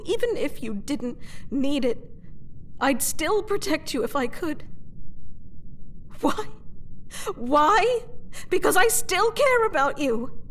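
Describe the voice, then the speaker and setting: Woman acting a high-voiced, proud, wounded captive queen. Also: a little hiss or hum. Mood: defensive, earnest, then flustered, confessing. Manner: theatrical, halting, emotional delivery. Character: captured reckless warrior queen, proud, wounded, secretly in love. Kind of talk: dramatic fantasy audio roleplay addressed to the listener.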